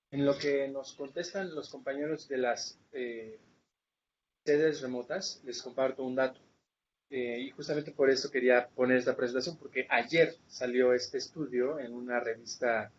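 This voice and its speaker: A man leading an academic seminar, explaining didactically and checking in with rhetorical questions. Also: mid-range voice. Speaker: lecturer leading a seminar session. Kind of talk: academic lecture with questions to participants. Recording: muffled, noisy room recording.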